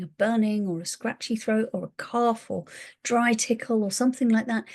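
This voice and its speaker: A middle-aged woman, a spiritual advisor, talking in an earnest, chatty and gentle way to her viewers. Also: mid-range voice. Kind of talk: casual talk to camera.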